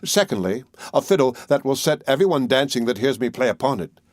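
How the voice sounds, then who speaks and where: A man's voice: mid-range voice, expressive, quick pace, a little mellow, dry recording, lightly acted. Male narrator as merry countryman, fairy tale audiobook, honest, plain-spoken, dry recording. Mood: pleased.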